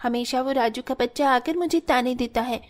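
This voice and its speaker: A woman's voice: sits high, moderate pace, natural voice. A woman playing a stubborn, sulky little girl from a poor family in a cartoon story. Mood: sulky, accusing.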